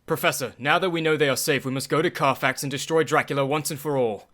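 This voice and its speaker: An actor playing a resolute gentleman doctor leading vampire hunters in a radio play, earnest and decisive. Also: mid-range voice, steady tone, fast talker, close to an everyday voice. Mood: determined. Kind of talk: radio play dramatic acting.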